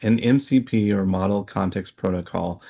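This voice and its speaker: A man explaining technical material as an interview guest, in a measured, hesitant manner. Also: deep voice, creaky, warm tone, husky, muffled recording.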